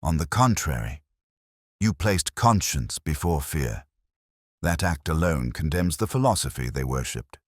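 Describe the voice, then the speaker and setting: A man voicing a grave, brooding, cerebral detective in a storybook reading, speaking in measured, solemn aphorisms. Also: a bass voice, big pitch swings, dry recording, studio quality, heavily acted. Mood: calm, weighty.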